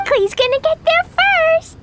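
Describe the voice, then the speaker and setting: A woman's voice: very high voice, lively intonation, takes it slowly, heavy background noise, heavily acted. A woman voicing an innocent, eager little girl in a video game, with a childlike sing-song delivery. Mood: gleeful. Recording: music behind the voice.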